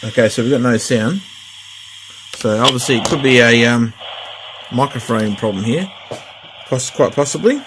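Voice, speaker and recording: A man's voice: medium pitch, moderate pace, noisy background. A middle-aged man chatting casually through a radio unboxing and repair, rambling with fillers and restarts. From Australia. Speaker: hobbyist vlogger.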